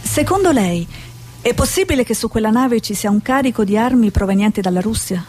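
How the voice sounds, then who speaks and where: An actress playing a determined, curious Italian TV reporter in a radio drama, probing and persistent. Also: female voice, a little high, lively intonation, fairly quick, darkish tone. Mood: probing, polite.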